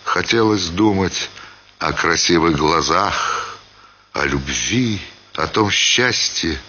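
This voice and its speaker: A man reading a literary story as a dramatic narrator, measured, pausing phrase by phrase. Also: deep voice, animated, sharp tone, breathy edge, lo-fi sound. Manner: measured, with deliberate phrase-by-phrase pauses.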